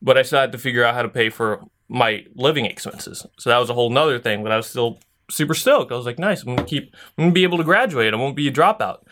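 A man's voice: mid-range voice, fast talker, fairly bright tone. A young man co-hosting a podcast, telling a story in a loose, joking way and laughing often. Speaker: podcast co-host. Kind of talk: casual podcast chat.

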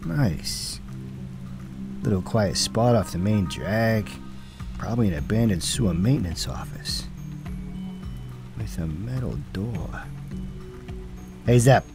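A man's voice: fairly low pitch, animated, slow pace, pauses often, over music. A man playing a cocky, exasperated hard-boiled cop in a noir audio drama, sardonic and streetwise with punchy delivery. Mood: wry, businesslike.

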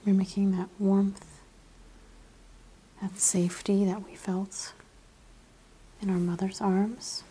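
A woman speaking in soft, breathy ASMR style, often dropping into a whisper as she gives soothing, unhurried guided relaxation. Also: mid-range voice, flat delivery, a little hiss or hum. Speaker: ASMR practitioner and show host.

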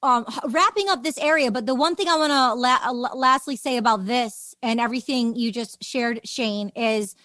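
A woman's voice: fairly high pitch, normal speed, few pauses, bright, forceful tone, dry recording. A woman hosting a podcast in casual conversation, chatty and peppering her speech with "like". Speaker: podcast host.

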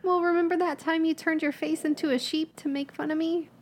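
A woman's voice: very high voice, steady tone, brisk, a little hiss or hum. A woman playing a tabletop character, hesitant and thinking aloud with many ums. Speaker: player. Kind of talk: in-character roleplay at a tabletop game.

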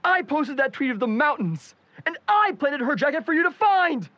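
A man's voice: extremely high pitch, sing-song, normal speed, no echo, muffled recording. A young man acting a troubled, bitter son in a supernatural audio drama. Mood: furious, bitter.

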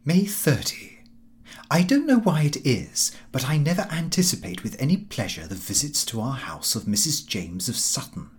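Guy reading an old comic diary audiobook, kinda fussy and proper, pitch moves around a lot. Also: sing-song, normal speed. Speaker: audiobook narrator. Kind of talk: scripted narration of a comic diary novel.

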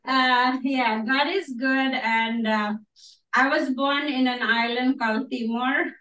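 A woman from Indonesia speaks as a guest pastor, telling her story with frequent laughter and amens. Her voice is high and bright. She is picked up from some distance in a roomy, echoing space. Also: fairly even intonation, slow pace. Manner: warm, laughing, affirming with amens. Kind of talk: testimony at a church gathering. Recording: roomy echo, mic from afar.

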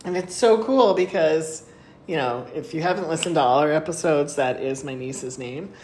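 Woman co-host, low-pitched, telling stories casually with bursts of laughter, recorded in an echoey room. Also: normal speed. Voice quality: laughs often while talking. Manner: animated retelling, voice jumps high when excited. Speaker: podcast co-host. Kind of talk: casual storytelling chat. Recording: echoey room with faint noise.

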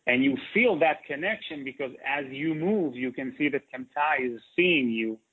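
A man's voice: mid-range voice, expressive, moderate pace, slightly rough. Man in his forties, phone-thin. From Israel. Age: 40s. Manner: hesitant, many fillers. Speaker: interview guest, company co-founder. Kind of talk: interview conversation. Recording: tinny phone-like line.

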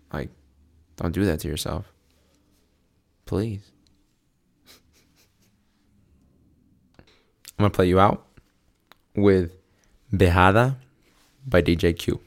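A man hosting a show, casually announcing an upcoming event in a loose, rambling way with fillers. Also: low voice. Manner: laid-back, rambling promo with fillers. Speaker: host.

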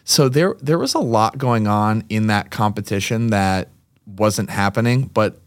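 A man's voice: fairly low pitch, a little slow, no room sound, clean studio sound. A man, a podcast guest telling stories in a chatty, joking way. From Massachusetts. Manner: chatty storyteller, joking asides. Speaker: podcast guest, CrossFit gym owner. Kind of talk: casual chat.

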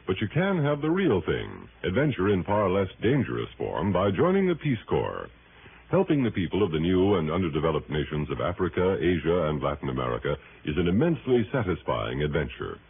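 A man's voice: low-pitched, breathy edge. A man reading a scripted public service announcement as a 1960s radio announcer. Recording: muffled 1960s radio recording, faint noise.

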